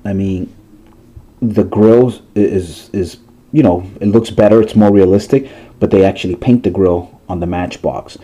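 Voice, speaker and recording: Stuffy-nosed man, low and mellow. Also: unhurried. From Massachusetts, USA. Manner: rambling, chatty, with fillers and restarts. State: stuffy, congested nose. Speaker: toy car collector showing his collection. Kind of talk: casual vlog.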